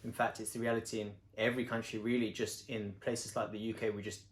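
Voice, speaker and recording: A man's voice: on the low side, level tone, fast talker. Man, playful interviewer, casual banter, echoey room. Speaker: host interviewing his partner.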